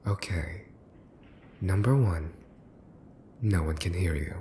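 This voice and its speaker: A man's voice: sits low, mellow. A man playing a mafia boss's son in an ASMR roleplay, possessive and menacing, with theatrical sarcasm and mocking politeness. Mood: teasing, menacing. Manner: theatrical, sarcastic, deliberate repetition, sighing. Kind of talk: ASMR boyfriend roleplay acting. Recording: roomy echo, faint background noise.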